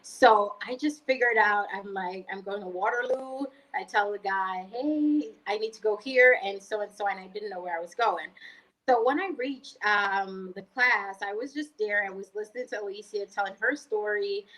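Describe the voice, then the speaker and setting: A woman's voice: medium pitch, moderate pace, a bit bright, rough recording. A woman in her twenties with a Jamaican accent, telling a personal story conversationally as a guest. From Montego Bay, Jamaica. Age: 20s. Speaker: guest, online freelancer.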